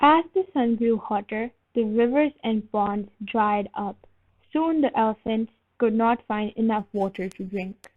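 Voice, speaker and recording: Higher-voiced woman reading a storybook, phone-like sound. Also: a little slow, no echo. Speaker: storybook reader. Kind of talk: children's read-aloud narration, expressive. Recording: phone-like thin band, dry.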